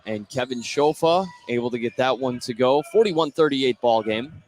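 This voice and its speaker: A man calling live play-by-play of a high school basketball game for radio. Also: moderate pace, darkish tone, slight background noise. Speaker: play-by-play commentator. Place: courtside at an indoor basketball game.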